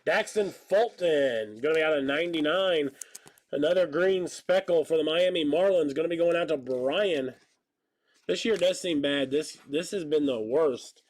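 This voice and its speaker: A man in his thirties with a stuffy nose and slightly hoarse voice, chatting casually and joking while he hosts a live card break. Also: fairly high pitch, moderate pace. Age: 30s. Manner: rambling, joking, calls out card pulls. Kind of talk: casual live-stream chat while opening cards.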